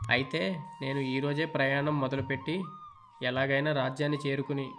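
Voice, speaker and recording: A man's voice: mid-range voice, natural voice. Man playing an earnest young cartoon musician. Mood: hopeful. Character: earnest, troubled young male musician, devoted son. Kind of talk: Telugu children's cartoon story dubbing.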